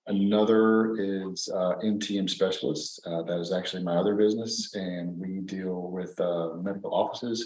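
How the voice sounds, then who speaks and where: A man's voice: deep voice, darkish tone. A man in his fifties co-hosting a podcast, chatting casually and rambling with many fillers. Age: 50s. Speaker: podcast co-host. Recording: echoey room.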